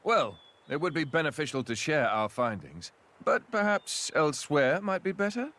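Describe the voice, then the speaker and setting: An actor playing a gentle, polite and refined young prince in a game cutscene, with music behind him. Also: male voice, a little high, big pitch swings, moderate pace. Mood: friendly, teasing. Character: gentle, courteous young prince, thoughtful and friendly. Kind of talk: video game cutscene acting.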